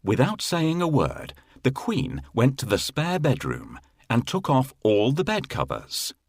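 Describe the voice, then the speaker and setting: A man narrating a children's fairy tale in a measured, expressive storytelling manner with a husky voice. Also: medium pitch, no room sound, studio quality. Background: faint music.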